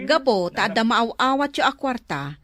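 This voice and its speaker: Female, slightly high voice, acting an admonishing village supervisor in a comedy skit. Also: very animated, normal speed, fairly bright tone. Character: admonishing woman supervisor handing out wages. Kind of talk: comedy skit dialogue in Ilocano.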